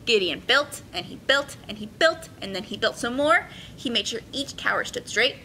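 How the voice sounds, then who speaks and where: Bright, high-voiced young woman, animated storytime host. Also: normal speed, poor audio. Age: young adult. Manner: expressive, encouraging. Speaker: children's librarian hosting yoga storytime. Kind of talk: picture-book reading and friendly instruction for children. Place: indoor children's room with carpeted steps. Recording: clear room noise, low rumble.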